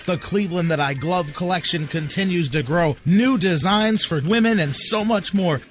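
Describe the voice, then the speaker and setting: A man's voice: high voice, normal speed. An American man hosting sports talk radio and reading ads with an enthusiastic, salesman-like delivery. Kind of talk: sports talk and live ad reads. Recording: music bed behind the voice.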